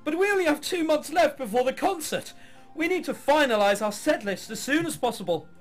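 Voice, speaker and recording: A man's voice: very high voice, animated, brisk, soft background music, pitched above the performer's own voice. A man voicing a nervous, deferential orchestra musician in a visual novel, speaking hesitantly and politely. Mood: anxious, urging.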